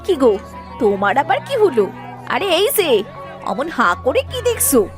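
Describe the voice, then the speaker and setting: A woman's voice: sits high, wildly swooping pitch, unhurried, music in the background, full character voice. A woman voicing a demanding, greedy young village wife in a cartoon, whiny and insistent. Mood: bossy, greedy. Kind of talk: cartoon story voice acting.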